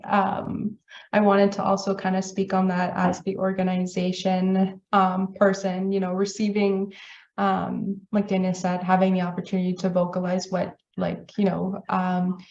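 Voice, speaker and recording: A hesitant woman organizer with a gravelly, fry-laden voice, pausing often on ums. She sounds roomy and echoey. The audio is muffled and lo-fi. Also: mid-range voice, completely flat delivery, darkish tone, slight background noise. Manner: hesitant, many ums, apologetic. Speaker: festival organizer. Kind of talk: casual panel discussion.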